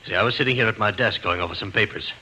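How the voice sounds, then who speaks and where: An actor playing a wealthy, grimly composed client under threat in an old-time radio mystery. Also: male voice, on the low side, racing, sharp tone, husky, full character voice. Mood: grim, urgent. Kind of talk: 1940s radio drama acting. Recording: noisy old radio recording.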